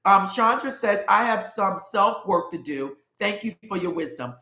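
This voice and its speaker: A woman's voice: medium pitch, animated, measured pace, bright, forceful tone. Nasal middle-aged woman, live-stream host, upbeat chat reading, echoey room. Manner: upbeat, repeats "love it" and "okay".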